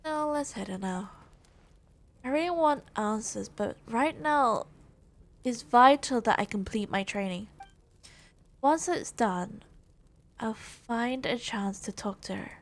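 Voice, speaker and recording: A woman's voice: on the high side, slow pace, pauses often. A woman playing an earnest, determined young traveler training as a fighter in a video game, resolute in manner. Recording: game dialogue over music. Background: game music.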